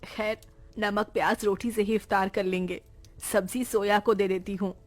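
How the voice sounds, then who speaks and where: A woman voicing a caring, devout, poor village aunt in a cartoon story, with expressive, homely speech. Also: medium pitch, moderate pace, close to an everyday voice. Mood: caring, resigned. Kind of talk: Hindi cartoon story dialogue.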